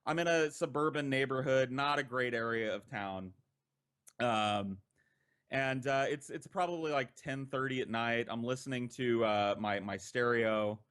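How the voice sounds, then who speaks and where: An American man tells a story in a casual podcast style, with a middle-pitched voice. He talks conversationally, swears freely and leaves dramatic pauses between short phrases. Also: fairly bright tone, smooth. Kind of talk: casual anecdote telling.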